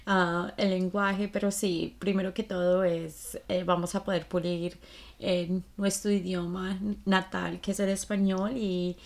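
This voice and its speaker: A woman's voice: lowish voice, level tone, unhurried. A woman in her late twenties from Colombia, with a Colombian accent, talking casually as a podcast co-host.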